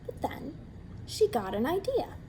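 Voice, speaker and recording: Give me a woman reading a bedtime story slowly, with a mellow, expressive voice. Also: high voice, slight background noise. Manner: slow, expressive and hushed at times. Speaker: storytime reader. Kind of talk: children's picture-book read-aloud.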